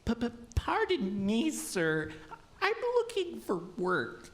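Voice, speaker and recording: Casting: female actor as headstrong, cheeky young man for radio folktale, unhurried, extremely expressive pitch. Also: exaggerated voice. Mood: polite, eager. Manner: polite but cocky. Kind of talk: audio drama folktale acting.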